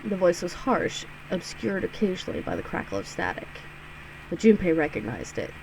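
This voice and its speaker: A woman's voice: lowish voice, big pitch swings, normal speed, vocal fry, darkish tone, a little hiss or hum, low-quality recording. A woman hosting a let's play, reading a visual novel aloud with sarcastic, giggly asides and free swearing. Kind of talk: casual, joking read-through with asides.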